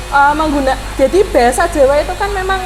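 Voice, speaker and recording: A woman's voice: high voice, quick pace, background noise. A woman, a language teacher explaining things patiently in a podcast interview, with frequent "eh" and "nah". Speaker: Javanese language teacher, guest.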